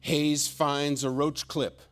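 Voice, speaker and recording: A man's voice: on the high side, level tone, takes it slowly. A man with a dry, joking manner, bantering and reading aloud as a guest on a studio talk show. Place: television studio stage.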